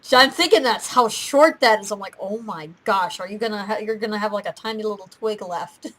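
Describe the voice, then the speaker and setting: A woman chatting casually in an animated, giggly, rambling way as co-host of a fan video. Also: mid-range voice, a little fast. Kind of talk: casual chat.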